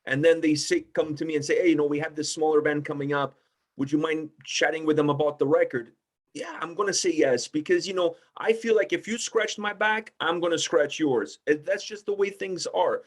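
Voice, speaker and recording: A man's voice: a little high, a little fast. A man talking casually in a roundtable discussion, blunt and emphatic, swearing freely. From Portugal. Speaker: music interviewer with own video channel.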